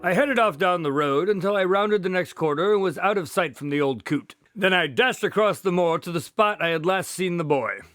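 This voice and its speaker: An actor in a radio drama playing a polite, inquisitive police consultant, courteous and measured in his questions and narration. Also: high voice, expressive, a bit bright, dry recording, faint music behind. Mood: recounting.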